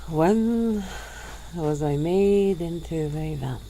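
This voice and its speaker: A woman voicing a centuries-old female vampire who speaks slowly and softly, with breathy little laughs, in an ASMR roleplay. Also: deep voice, slight background noise, low-quality recording. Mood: teasing, languid. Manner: slow, soft-spoken, with breathy little laughs.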